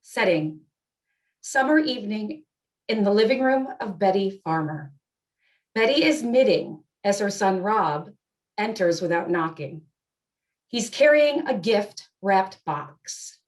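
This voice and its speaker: Woman, scripted narration, stage directions reader, medium pitch, leisurely pace. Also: expressive, slow. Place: indoors, on a video call.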